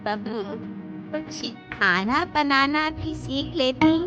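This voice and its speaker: A woman voicing a middle-aged, practical, easily exasperated mother-in-law in an acted family story, admonishing and deliberate. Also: high voice. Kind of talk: acted children's story dialogue. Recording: dull audio with music behind.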